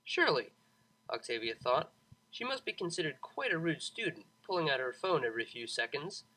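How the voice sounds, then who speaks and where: A man reading a scripted story as an expressive audiobook narrator, with a dramatic, wry delivery. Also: a little high, brisk, rough recording. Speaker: narrator, fan fiction audiobook reader.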